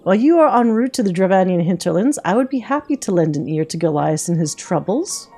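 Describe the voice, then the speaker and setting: A woman voicing a smooth, imperious noble schemer from a video game, formal and falsely sweet. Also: mid-range voice, expressive, fairly quick, dark tone, faint music behind. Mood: smug, insistent. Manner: formal, mock-polite.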